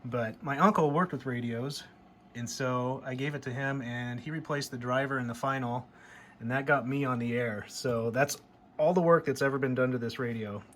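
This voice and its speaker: A middle-aged American man talks in an easy, rambling show-and-tell way. His voice sits at an ordinary pitch with a nostalgic manner, and there is light noise in the background. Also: moderate pace, a bit bright. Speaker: hobbyist vlogger showing a CB radio. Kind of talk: casual show-and-tell chat.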